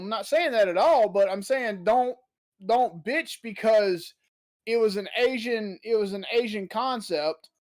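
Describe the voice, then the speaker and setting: A podcast co-host in casual chat, blunt and animated, swearing freely while ranting. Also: mid-range voice.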